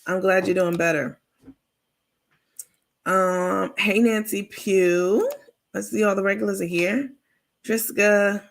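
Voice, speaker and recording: A woman with a raspy voice hosting a casual livestream, chatting informally with viewers and reading out their comments. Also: mid-range voice, steady tone, takes it slowly, long pauses. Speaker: livestream host.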